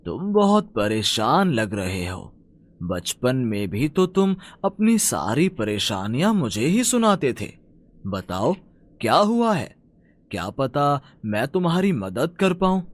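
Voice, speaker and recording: A man voicing a kindly magical talking tree in a cartoon fairy tale, speaking slowly and deliberately with care. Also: mid-range voice, big pitch swings. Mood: gentle concern.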